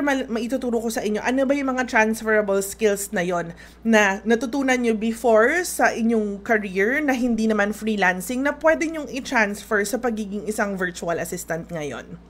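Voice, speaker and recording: A woman in her late thirties with a Filipino accent, hosting an informal webinar in a chatty, conversational way. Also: fairly high pitch. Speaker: host, webinar instructor. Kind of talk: informal webinar talk, mixing Tagalog and English.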